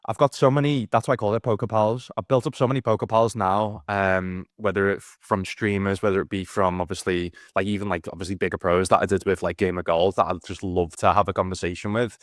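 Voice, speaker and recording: A man's voice: sits low, fairly even intonation, quick pace, few pauses, dry recording, studio quality. A man hosting a casual poker podcast, asking long, rambling, friendly questions. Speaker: podcast host. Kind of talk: casual interview chat.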